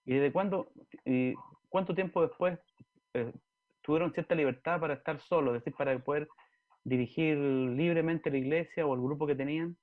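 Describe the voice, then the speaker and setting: A male interviewer asks long, winding questions in a somewhat high voice, often breaking in with brief affirmations. His voice comes through thin and tinny, like over a phone. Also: normal speed. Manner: long winding questions, frequent "ya" and "amén" backchannels.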